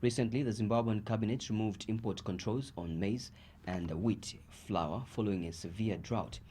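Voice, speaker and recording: A man with a Zambian accent reads the news from a script. His voice sits on the low side, and there is a slight background noise on the recording. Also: normal speed, warm tone. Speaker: news reader.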